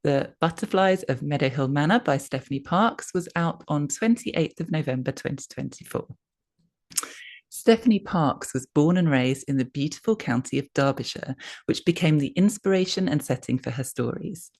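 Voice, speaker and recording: A woman with a low-pitched voice reads a written article aloud. Her delivery is scripted narration, measured and clearly phrased, as for an advice piece. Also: lively intonation, darkish tone.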